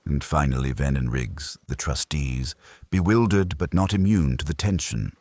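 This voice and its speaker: A man with a husky voice reading a scripted first-person detective story. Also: very deep voice, normal speed, darkish tone, no room sound. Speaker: audiobook narrator.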